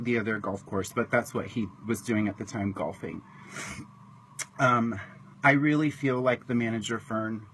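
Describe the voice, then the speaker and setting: A man in his thirties from Washington state tells his own story in a halting, emotional way, with many pauses and ums. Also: on the low side, a bit bright, a little hiss or hum, poor audio. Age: 30s. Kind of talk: personal testimony to camera.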